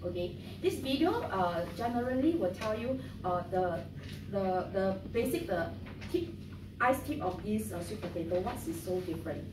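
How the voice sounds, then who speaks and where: A middle-aged woman, leading a training class in a friendly, halting way with many fillers. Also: mid-range voice, lively intonation. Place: training room with an audience. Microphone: far camera. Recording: roomy, distant, noisy.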